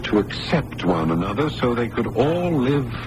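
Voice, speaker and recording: A man's voice: mid-range voice, very animated, normal speed, lightly acted. A man playing an earnest, patient doctor in a 1980s radio drama, on a noisy old broadcast recording. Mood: reflective. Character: Bob Curran, earnest patient male doctor, also narrating.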